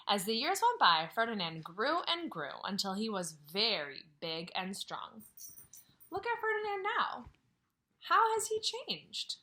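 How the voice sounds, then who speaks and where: A woman teaching kindergarten from home, reading a story aloud and asking questions she then answers. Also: medium pitch. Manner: animated, asks questions and answers them herself. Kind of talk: read-aloud lesson for young children.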